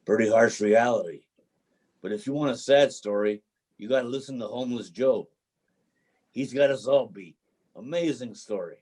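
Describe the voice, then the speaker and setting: A man's voice: medium pitch, moderate pace, pauses often, a little mellow, lightly acted. A man playing a homeless drifter in a play reading, a cynical, bruised romantic who tells his story in a folksy, dramatic way. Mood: rueful. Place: remote video call reading.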